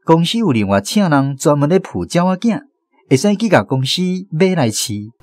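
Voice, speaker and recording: A Taiwanese storyteller looking back on village days in a radio drama. His slightly high voice moves up and down animatedly as he narrates. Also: big pitch swings, normal speed, a little mellow, no echo. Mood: reminiscent, animated storytelling. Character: man recalling his village childhood as storyteller.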